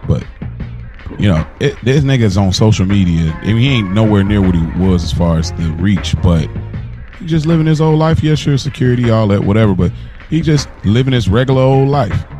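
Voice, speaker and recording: An American man co-hosting a casual podcast, talking in an animated, slangy way and repeating phrases for emphasis. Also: lowish voice, fairly quick. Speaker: podcast co-host. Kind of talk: casual hip-hop talk podcast. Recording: music playing underneath. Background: music.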